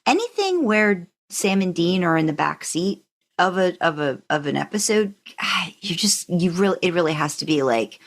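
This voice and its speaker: A woman with a low voice co-hosts a fan podcast. She talks casually and works through a ranking, leaning on repeated words to make her point. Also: big pitch swings, moderate pace. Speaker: podcast co-host.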